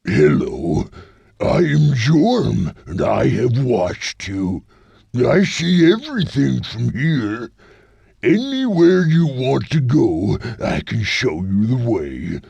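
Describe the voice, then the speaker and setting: An actor playing a weary, thousand-year-old all-seeing guide in a video game, with a gravelly, husky voice and a slow, dramatic manner. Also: male voice, mid-range voice, sing-song, darkish tone, no echo, full character voice. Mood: mysterious. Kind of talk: video game cutscene acting.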